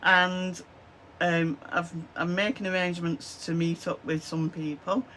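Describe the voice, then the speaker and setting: Hoarse lancashire lady in her 50s rambling to her camera at home, chesty cough, lots of ums, bit of faint hiss behind. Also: fairly low pitch, fairly even intonation, unhurried, fairly bright tone, rough recording. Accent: Lancashire English. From Lancashire, England. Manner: rambling, with many "um, so yeah" fillers. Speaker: craft vlogger. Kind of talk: casual chatty to-camera vlog. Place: small home craft room indoors. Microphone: camera at desk, close framing.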